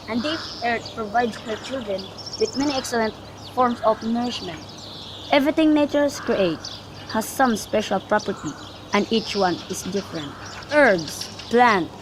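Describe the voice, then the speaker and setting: A woman playing a holy friar and gently scolding mentor in an amateur radio play, reciting carefully with small stumbles. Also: a little high, close to an everyday voice. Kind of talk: student radio drama, recited modern Shakespeare. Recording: clear background noise with birdsong.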